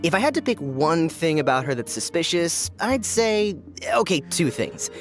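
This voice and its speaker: An actor playing a goofy, lovestruck young soldier in a video game, musing in a theatrical, melodramatic way. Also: male voice, fairly high pitch, very animated, moderate pace. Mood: insistent, smitten. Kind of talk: video game character dialogue. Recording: music behind the voice.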